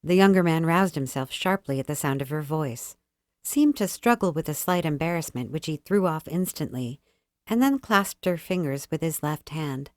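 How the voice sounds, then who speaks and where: A woman's voice: low voice, expressive, normal speed, a little mellow, no room sound, clean studio sound. A woman reading a classic short story aloud as an audiobook narrator, in a scripted literary style.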